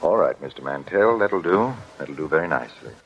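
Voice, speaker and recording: A man playing a calm, methodical doctor in a 1940s radio drama, formal and polite. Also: very deep voice, a little fast, slight background noise. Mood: calm, clinical. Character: calm, methodical male doctor, clinical expert. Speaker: lie detector specialist. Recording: dull old 1940s radio broadcast.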